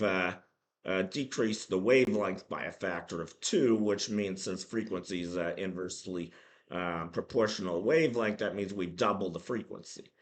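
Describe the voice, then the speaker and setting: Hoarse, halting low-voiced man teaching math. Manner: halting, many ums and restarts. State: hoarse from a cold. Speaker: math channel host. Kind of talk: casual spoken math lesson.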